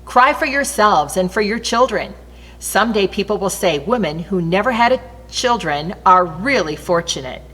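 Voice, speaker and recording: A woman's voice: mid-range voice, expressive, normal speed, a bit bright. A woman reading the words of a solemn, earnest male prophet and teacher in a Bible story. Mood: solemn warning. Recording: long reverberant hall echo.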